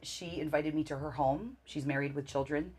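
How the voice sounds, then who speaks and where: Husky low-voiced woman in her forties. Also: steady tone, normal speed. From Canada. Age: 40s. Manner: warm, enthusiastic storytelling with many fillers. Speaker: guest. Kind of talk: casual interview answers.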